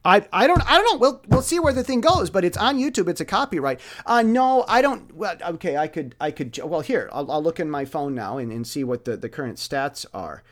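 A man speaking in a casual, rambling monologue, excitably repeating words and phrases for emphasis. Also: high-pitched, brisk, few pauses. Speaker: musician with an online channel.

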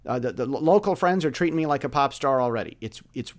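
A man speaking in a casual, rambling monologue, excitably repeating words and phrases for emphasis. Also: mid-range voice, quick pace. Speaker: musician with an online channel.

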